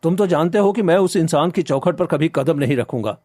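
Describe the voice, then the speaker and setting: A man's voice: fairly high pitch, animated, fairly quick, darkish tone, no room sound. A man playing a poor, proud village farmer, an earnest and protective brother in a cartoon story. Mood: indignant. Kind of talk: Hindi animated moral story dialogue.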